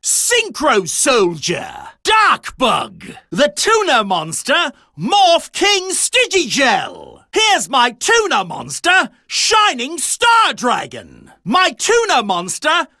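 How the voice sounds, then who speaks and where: Casting: an arrogant, imperious anime game champion, high-pitched and wildly swooping in delivery, grand battle declarations. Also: male voice, wildly swooping pitch, takes it slowly, bright, forceful tone, dry recording, clean studio sound, exaggerated voice. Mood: bold, commanding. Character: proud, domineering male champion duelist summoning dragons and fiends. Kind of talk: theatrical anime video game battle callouts.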